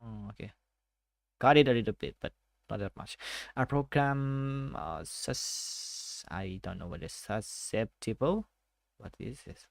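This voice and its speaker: A Thai-accented man works slowly through technical notes and reads passages aloud between hesitant asides. His voice has a gravelly edge, and the recording is dry and close, with no room sound. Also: lowish voice, dry recording. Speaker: tech study vlogger. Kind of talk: casual self-study screen walkthrough.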